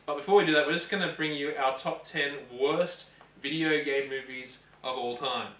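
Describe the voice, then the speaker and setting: A man with a fairly high, bright voice, recorded away from the mic in an echoey room. He presents a list as casual chat, stumbling and repeating himself with dry sarcasm. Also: fairly even intonation, recorded from a distance. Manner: halting, repeats phrases, sarcastic joking. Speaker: co-host of a gaming show.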